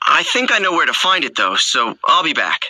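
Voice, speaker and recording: A man's voice: fairly high pitch, sing-song, fairly quick, background noise. An actor playing an earnest, easygoing young man in a video game, speaking casually and a little hesitantly. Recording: music behind the voice.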